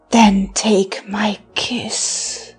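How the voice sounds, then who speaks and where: A woman's voice: medium pitch, slightly rough, exaggerated voice. A woman playing a teasing, mocking seductress with magical wiles in a theatrical audiobook verse drama. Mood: breathless, passionate. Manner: theatrical, taunting, breaking into laughter and sighs.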